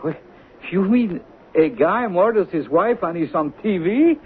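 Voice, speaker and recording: A man's voice: high-pitched, measured pace. An actor playing a blunt, kindly, baffled lunch-counter man with colloquial speech in a radio drama. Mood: baffled, exasperated. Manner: colloquial, animated. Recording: tinny, noisy 1970s radio recording.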